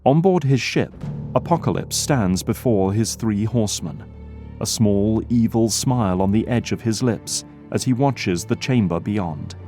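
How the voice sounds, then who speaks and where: A man's voice: lowish voice, expressive, measured pace, warm tone, soft background music. A man narrating an audio drama, reading scripted scene descriptions in a dramatic storytelling style.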